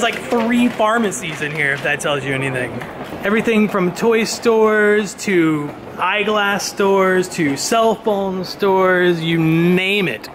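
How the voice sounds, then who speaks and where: A man in his thirties vlogging casually, excitable and wisecracking, filming himself at arm's length in a large train station. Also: high-pitched. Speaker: travel vlogger. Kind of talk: casual vlog narration. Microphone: handheld camera at arm's length. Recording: loud crowd noise behind. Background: crowd chatter and station bustle.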